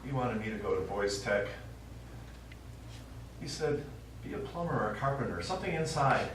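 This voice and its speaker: An actor playing an elderly, stubborn widower and war veteran, reminiscing in a wry, rambling way in a stage play. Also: male voice, mid-range voice, expressive, normal speed, pauses often, fairly bright tone, natural voice. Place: small theatre stage, recorded from the audience. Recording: echoey small theatre, distant mic, faint noise.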